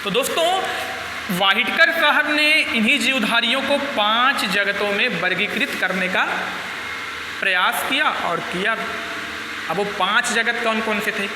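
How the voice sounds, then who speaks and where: A male teacher giving a biology lecture with a sore, hoarse throat, his tongue stumbling now and then as he keeps calling his students friends. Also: sits high, a little slow. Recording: echoey hall with noise.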